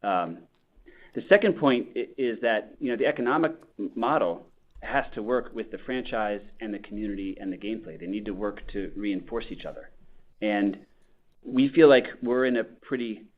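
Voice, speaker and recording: Man, executive, earnings call answer, measured corporate talk, fillers, lowish voice, tinny phone line. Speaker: company executive.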